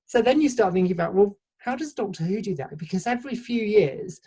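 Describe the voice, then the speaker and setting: A woman's voice: mid-range voice, lively intonation, brisk, dark tone, slightly rough. A woman from Sheffield, a podcast guest, explaining her ideas at length in a thoughtful, casual way with frequent restarts. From Sheffield, England. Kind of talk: casual conversational interview.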